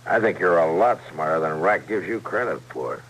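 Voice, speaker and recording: An actor playing a calm, wily frontier marshal with an American accent and a measured, dry, persuasive delivery in a radio drama. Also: male voice, low-pitched. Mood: coaxing. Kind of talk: old-time radio Western acting. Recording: slightly noisy vintage radio recording.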